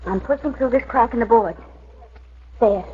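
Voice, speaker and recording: A woman's voice: fairly high pitch, normal speed, slightly rough. An actress playing an anxious, imploring young woman held prisoner in a 1940s radio drama. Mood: urgent. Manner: imploring, earnest. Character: anxious, pleading young female captive. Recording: muffled, noisy old radio recording.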